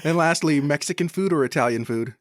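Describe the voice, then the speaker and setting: A man's voice: on the high side, brisk, no echo, studio quality. A man hosting a podcast, chatting casually as he interviews guests.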